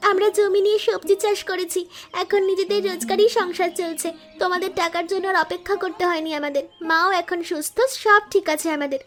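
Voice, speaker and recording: A woman acts a young village wife in an animated story. The voice is extremely high and rapid, earnest and caring, with music underneath. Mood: proud, earnest. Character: young village daughter-in-law, dutiful, caring and resolute. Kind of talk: Bengali animated family story dialogue.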